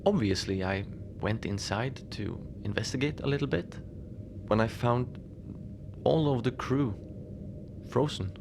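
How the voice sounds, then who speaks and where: A man playing a mild, lonely Antarctic station manager in a cozy horror audio drama, speaking in a hesitant, understated deadpan as he logs his shift. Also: mid-range voice, measured pace, vocal fry. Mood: confiding, wry. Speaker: narrator of a fiction podcast. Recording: noisy ambient bed behind the voice.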